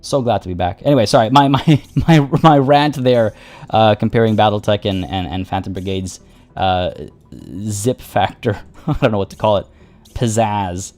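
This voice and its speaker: A man's voice: fairly low pitch, moderate pace, darkish tone, soft background music. Rambling man streaming a tactics game. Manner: rambling, many restarts and fillers. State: voice getting worn. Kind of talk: casual gameplay commentary.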